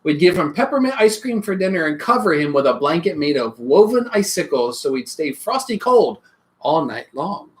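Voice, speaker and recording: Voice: mid-range voice, big pitch swings, normal speed, pitched below the performer's own voice, lightly acted. A storybook reader playing a loving, imaginative mother who answers in a reassuring, playful way.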